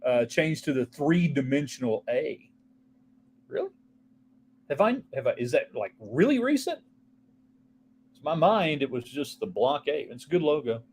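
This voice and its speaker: A man's voice: medium pitch, big pitch swings, unhurried, pauses often, a little mellow, slight background noise. A man hosting a casual solo sports talk show, rambling from topic to topic and answering viewer texts. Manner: rambling, offhand, jumps between topics.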